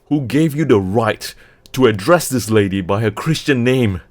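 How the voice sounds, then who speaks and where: A man reading aloud and voicing a hot-tempered young nobleman who bursts out in loud, indignant rebukes. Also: mid-range voice, big pitch swings, slow, pitched above the performer's own voice. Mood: furious. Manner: forceful, indignant outbursts.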